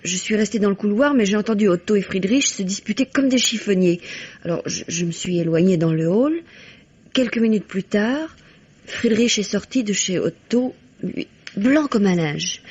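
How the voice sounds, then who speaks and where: A woman's voice: medium pitch, normal speed, darkish tone, husky, natural voice. An actress playing an anxious, grieving young woman in a French radio mystery, reserved and hesitant. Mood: anxious, confiding. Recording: dull old radio recording.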